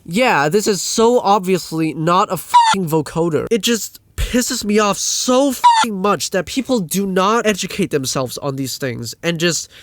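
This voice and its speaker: A man's voice: high voice, expressive, unhurried, hardly any pauses. A man delivering a casual, exasperated rant as a video creator, blunt and emphatic, with swearing. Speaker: video creator ranting about music production.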